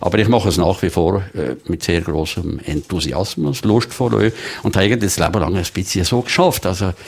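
A deep-voiced man in his sixties speaks with a Swiss German Valais accent, a guest in a radio studio. He hunts for words, with many fillers and restarts. His voice sits very low. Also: fairly quick, gravelly, a little mellow, breathy edge. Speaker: guest, early retiree. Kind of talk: studio interview.

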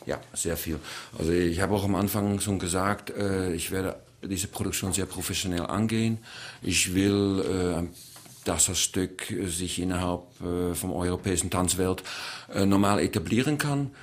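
Deep-voiced man with a Dutch accent. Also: moderate pace. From Netherlands. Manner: hesitant, many fillers, searching for words. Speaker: choreographer. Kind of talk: radio interview answers.

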